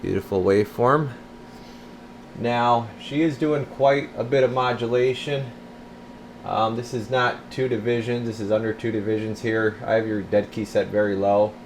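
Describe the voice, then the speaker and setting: A man with a very low voice talks casually through his bench work like a repair technician. His delivery is informal and rambling, explaining as he goes. A steady noise sits clearly behind him in the workshop recording. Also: moderate pace. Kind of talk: casual hands-on demonstration vlog. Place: indoor radio repair workbench.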